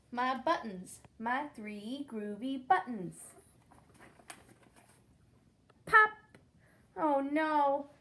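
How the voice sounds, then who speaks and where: Young female teacher, unhurried children's read-aloud, slightly high voice, counts and quizzes the kids. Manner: asks the children questions, counts along.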